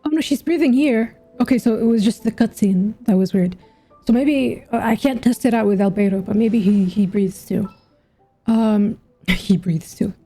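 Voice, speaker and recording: A woman's voice: mid-range voice, moderate pace, husky. A woman giving casual playthrough commentary on a game, musing aloud and asking questions as she goes. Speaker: game streamer reacting to a story quest. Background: soft game music.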